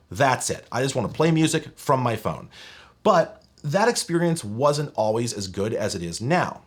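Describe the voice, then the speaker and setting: Male video host, mid-pitched with very animated delivery; wry, opinionated, wordy gadget-review narration. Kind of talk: scripted review narration, conversational.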